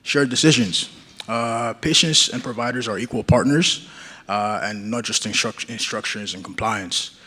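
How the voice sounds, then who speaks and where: A man's voice: lowish voice, moderate pace, sharp tone, husky. A young man giving an earnest conference talk as a patient advocate, with many fillers and restarts. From Nigeria.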